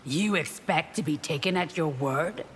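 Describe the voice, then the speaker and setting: A woman's voice: sits low, normal speed, natural voice. An actress playing a loyal, solemn, steely royal guard warrior woman in a fantasy fighting game, formal and resolute. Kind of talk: video game fighter intro dialogue. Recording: echoing hall, clear background noise.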